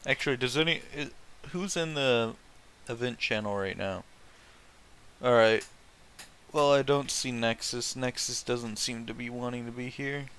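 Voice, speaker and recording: Bright-voiced man, foul-mouthed read-aloud commentator. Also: medium pitch, unhurried, slight background noise. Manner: foul-mouthed, incredulous, breaks off to react. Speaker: host reading fan fiction aloud and commenting.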